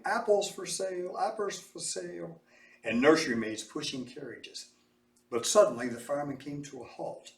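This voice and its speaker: A man reading a children's story aloud with animated, emphatic, dramatic delivery. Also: on the high side. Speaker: storybook reader. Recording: echoey room, distant mic.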